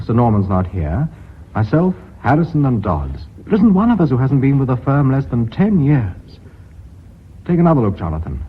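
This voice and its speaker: Brisk, husky English company vice chairman. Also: mid-range voice. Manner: formal, authoritative, businesslike. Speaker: actor in a radio play. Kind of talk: British radio drama acting. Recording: dull lo-fi radio recording.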